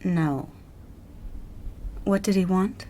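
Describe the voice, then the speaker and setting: A woman's voice: lowish voice, extremely slow, warm tone. A woman acting in a radio drama as a cool, sullen nineteen-year-old stepdaughter, curt and offhand.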